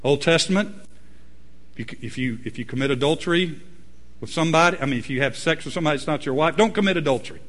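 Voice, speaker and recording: A man preaching a sermon, swinging between loud emphatic peaks and low quiet asides. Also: fast. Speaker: pastor.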